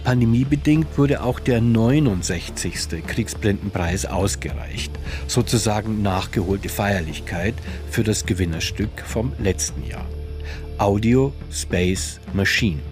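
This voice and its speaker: Low-voiced radio presenter, man, over music. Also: animated, a little slow. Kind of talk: scripted radio narration about radio plays.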